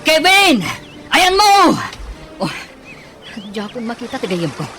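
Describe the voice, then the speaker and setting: A man's voice: very high voice, huge pitch swings, a little slow, bright, forceful tone, soft background music, exaggerated voice. A man acting an easygoing young friend in an Ilocano radio drama, with an Ilocano accent. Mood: frantic.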